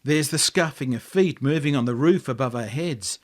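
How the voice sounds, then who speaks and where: A man with an Australian accent reading a story aloud as an expressive narrator. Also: mid-range voice, normal speed. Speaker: author reading own book.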